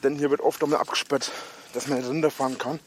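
A man narrating a walking vlog outdoors in a forest, out of breath, rambling with many halting fillers, filmed on a handheld camera. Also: mid-range voice, level tone, slight background noise. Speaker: vlogger.

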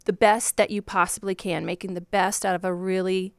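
A woman with a Virginia Southern accent talks in an earnest, heartfelt way, often restarting her phrases. Her voice is very smooth and clear, and the sound is dry and close. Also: medium pitch, flat delivery, brisk, no room sound. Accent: Southern American, Virginia. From Virginia, USA. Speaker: guest, divorce coach. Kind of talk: casual podcast conversation.

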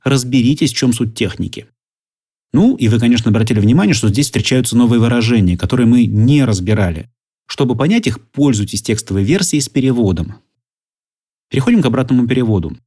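A man teaching a language course, giving calm, methodical instructions and prompting translations. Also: mid-range voice, fairly quick, breathy edge. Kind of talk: instructional explanation.

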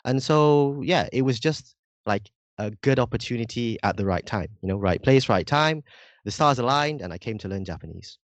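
A man's voice: mid-range voice, animated, normal speed, dry recording. Young Indonesian man, slightly British accent. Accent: slightly British-sounding English. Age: young adult. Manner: earnest, explanatory, frequent "like" and "right?". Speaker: podcast guest, university student. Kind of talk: casual interview chat.

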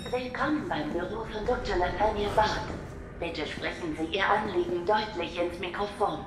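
A woman's voice: fairly low pitch, moderate pace, lightly acted. Woman as echoey automated office announcement. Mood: polite, impersonal. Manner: formal, impersonal. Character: polite female automated office door announcement system. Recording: echoey, noisy ambience.

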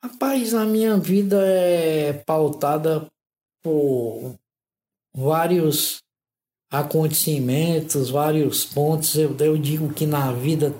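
A man, an interview guest telling his life story in a measured, thoughtful way. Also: on the high side, dark tone. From Ceará, Northeast Brazil. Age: 60s. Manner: measured, thoughtful, with frequent "né?" tags. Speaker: interview guest, artist and modeler. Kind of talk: unhurried reflective storytelling. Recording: roomy echo.

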